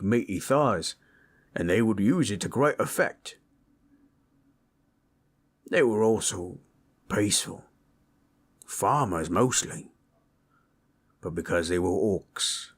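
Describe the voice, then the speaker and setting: A gentle orc storyteller, acted by a man with a very low voice. He takes his time, soothing a listener in an intimate roleplay audio with plain, rustic, ungrammatical speech that carries a husky grain. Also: slow, pauses often, heavily acted. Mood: fond, relaxed. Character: gentle, comforting male orc, rough-spoken old-lore storyteller.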